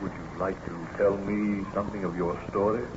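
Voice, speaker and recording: A man playing a steady, courteous ship's captain with measured, formal diction in an old-time radio drama. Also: deep voice, close to an everyday voice. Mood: concerned, courteous. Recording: echoey, dull, noisy old radio recording.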